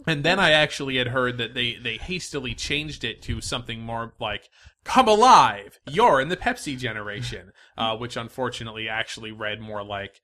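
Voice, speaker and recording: An American man co-hosting a podcast, telling trivia stories in a casual, animated way with chuckles. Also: mid-range voice, moderate pace. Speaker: podcast co-host. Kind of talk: casual trivia storytelling chat.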